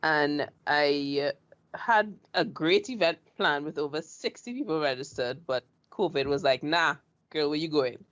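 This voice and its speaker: A woman's voice: sits low, measured pace. Chatty Trinidadian woman host. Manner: chatty, animated storyteller. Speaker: show host. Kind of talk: casual interview chat.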